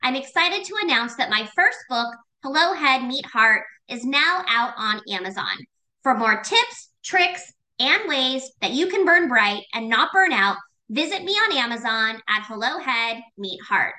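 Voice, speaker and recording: A woman's voice: high-pitched, very animated, a little slow, pushed and bright, low-quality recording. A woman hosting a podcast, upbeat and enthusiastic as she introduces and questions a guest. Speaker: podcast host.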